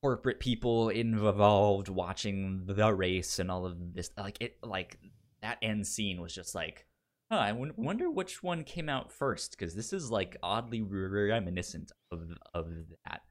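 A man's voice: lowish voice, big pitch swings, measured pace. A man chatting casually as a podcast host giving recommendations, stuttering and repeating syllables and words as he goes. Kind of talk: casual film chat.

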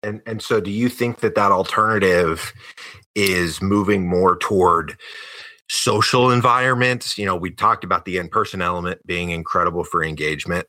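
A man's voice: deep voice, normal speed, sharp tone, dry recording. A man hosting a podcast, interviewing in a casual, conversational way. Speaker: podcast host.

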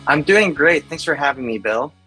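A man's voice: fairly high pitch, animated, moderate pace, bright, forceful tone, faint music behind, low-quality recording. A man in his forties from the Philippines, chatting casually as a podcast guest. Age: 40s. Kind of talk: casual chat.

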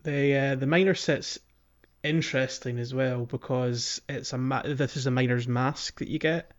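A man's voice: mid-range voice, steady tone, normal speed. Man chatting casually, dull lo-fi sound. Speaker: podcast host. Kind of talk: casual video game discussion. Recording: dull lo-fi microphone.

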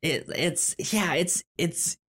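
A man hosting a podcast in a casual film review chat, with a halting delivery that often repeats and trips over words. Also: fairly high pitch, a bit bright. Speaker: podcast host.

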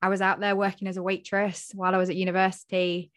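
A woman in her forties, a podcast guest, telling her career story briskly and confidently. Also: mid-range voice, completely flat delivery, no echo, studio quality. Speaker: guest, community founder. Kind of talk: casual interview talk.

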